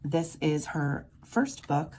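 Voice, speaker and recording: Woman vlogger, hoarse from a sore throat, deep fry-edged voice, murmured counting. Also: expressive, slow pace, slight background noise. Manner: quiet, murmured counting of bills. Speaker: budgeting vlogger. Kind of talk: casual cash-stuffing walkthrough.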